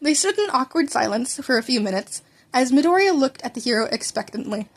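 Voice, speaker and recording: A woman's voice: high-pitched, expressive, brisk. A woman reading a story aloud as narrator, informally, now and then stumbling and rereading a word. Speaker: podfic reader. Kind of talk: reading fan fiction aloud, with character dialogue.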